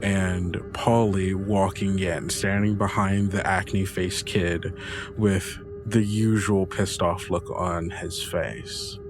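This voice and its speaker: A man's voice: low voice, flat delivery, takes it slowly, over music. A man narrating a tabletop story as game master, in a descriptive, dramatic storytelling manner.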